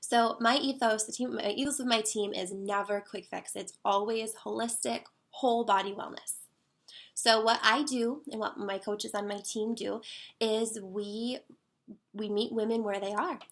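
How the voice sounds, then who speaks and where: Earnest woman with a gravelly, stuffy voice. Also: a little high, fairly even intonation, moderate pace, fairly bright tone. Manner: earnest, conversational, with fillers. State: slightly stuffy nose from a cold. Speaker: wellness coach. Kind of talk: casual monologue.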